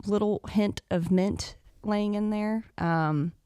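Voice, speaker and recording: A woman on a podcast crew, trading quick teasing quips during a casual tasting chat in a studio. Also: mid-range voice, warm tone, clear, resonant tone, dry recording.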